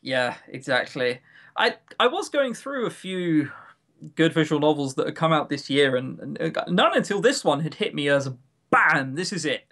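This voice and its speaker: Male podcast co-host, slightly high voice, casual, emphatic and jokey delivery. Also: very animated, moderate pace, fairly bright tone. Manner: enthusiastic, emphatic, joking. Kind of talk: casual podcast chat reviewing games.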